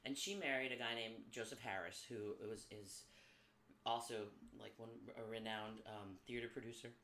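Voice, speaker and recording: A woman guest on a podcast, telling animated stories from her life, swearing freely and laughing often. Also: rumbling low, moderate pace. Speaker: podcast guest, Broadway performer. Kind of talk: casual storytelling chat. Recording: echoey room with faint background noise.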